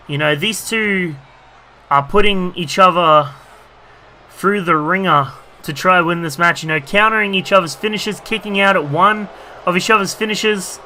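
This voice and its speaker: A man commentating his own wrestling video game match, rambling with lots of fillers and bursting into excitement at big moves. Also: sits high, a little hiss or hum. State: losing his voice.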